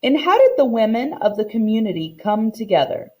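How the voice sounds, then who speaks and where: A woman reading a storybook aloud like a teacher, expressive and deliberate, explaining as she goes. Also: on the high side. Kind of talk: expressive storybook read-aloud with comprehension questions.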